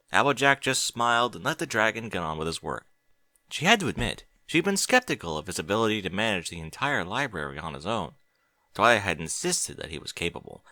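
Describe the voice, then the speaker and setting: A man narrating a fan fiction story in an expressive, animated reading. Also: on the low side, no echo, studio quality. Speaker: audiobook reader.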